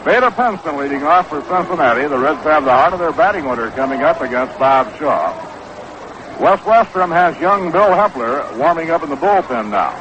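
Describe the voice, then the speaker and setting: American baseball announcer on noisy old radio. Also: male voice, on the high side, lively intonation, moderate pace. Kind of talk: 1960s radio sports commentary. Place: stadium broadcast booth. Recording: dull, noisy 1960s AM radio broadcast.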